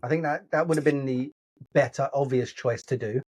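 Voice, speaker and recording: A man's voice: medium pitch, fairly quick, darkish tone. A man co-hosting a casual podcast chat, blunt and sweary, laughing often. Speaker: podcast co-host.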